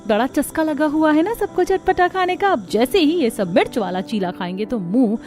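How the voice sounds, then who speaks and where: महिला की आवाज़ में झुंझलाई जवान बहू, ऊँचा सुर. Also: female voice, high-pitched, animated, moderate pace, darkish tone, faint music behind, voice pushed up. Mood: exasperated. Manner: exasperated, complaining. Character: harried, resentful young daughter-in-law, grumbling housewife. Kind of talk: Hindi family cartoon dubbing.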